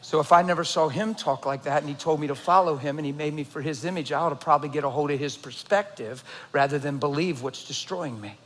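Man, 50s, pastor; high-pitched, intense sermon voice with a long reverb. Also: quick pace, few pauses. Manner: builds from low, quiet delivery to shouting intensity.

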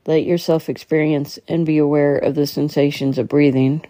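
A woman with a low, soft-edged mellow voice guides a meditation. She reads in a measured, soothing way, now and then tripping on a phrase. The sound is close and dry, with very little room around her. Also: level tone, no room sound. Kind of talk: guided meditation, slow instructional reading.

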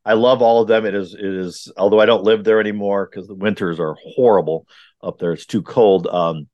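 A man hosts a morning podcast, talking in a rambling, earnest way and filling pauses with ums and uhs. His voice sits fairly low. The sound is dry and close, with little room behind it. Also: fairly even intonation, dry recording, dull audio. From Wisconsin. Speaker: podcast host. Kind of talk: casual podcast chat. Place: remote recording.

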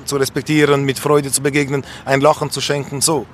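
A man's voice: mid-range voice, flat delivery, brisk. A young man speaking spontaneously and earnestly in a street interview, giving his opinions insistently. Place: outdoors on an urban street. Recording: faint urban street and traffic noise.

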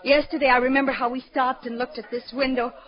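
A woman playing a distraught young small-town wife, high and hesitant, pleading as she stammers. It is old radio drama acting with a dull, lo-fi sound. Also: flat delivery, fast talker, lightly acted.

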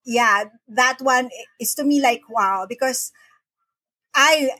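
A woman's voice: high-pitched, slow, pushed and bright, no echo. A middle-aged woman from the Philippines, a podcast guest talking earnestly and often repeating words as she starts a sentence. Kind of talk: casual interview talk. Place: home in the Philippines.

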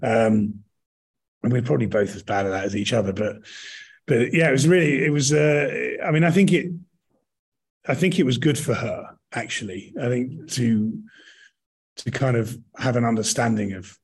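A man's voice: medium pitch, moderate pace, darkish tone, slightly rough. A middle-aged British man answering conversationally in an interview, rambling and often rephrasing himself mid-sentence. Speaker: interview guest, touring actor and director.

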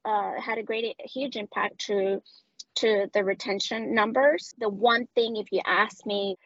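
A woman from Medellín, Colombia, with a Colombian accent and Spanish as her first language, speaking as a guest in a casual interview, often pausing and restarting. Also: mid-range voice, steady tone, a bit bright, no echo, dull audio. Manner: hesitant, many restarts and fillers. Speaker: guest, customer success director.

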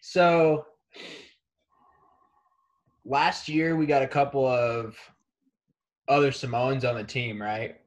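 A man's voice: medium pitch, takes it slowly, long pauses. A young man from Kansas City, a college wrestler, chatting casually in an interview with lots of fillers and restarts. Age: young adult. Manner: relaxed, rambling with many fillers.

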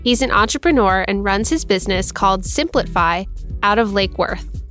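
A woman's voice: mid-range voice, animated, normal speed, fairly bright tone, faint music behind. A woman hosting an interview podcast, friendly and encouraging with her guest. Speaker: podcast host.

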